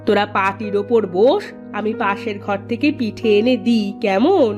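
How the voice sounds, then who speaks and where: A woman's voice: medium pitch, measured pace, lo-fi sound, music in the background, lightly acted. A woman voicing a spiteful, scheming neighbourhood aunt in a cartoon story, with theatrical, pointed delivery. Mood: sugary. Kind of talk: Bengali cartoon story dialogue.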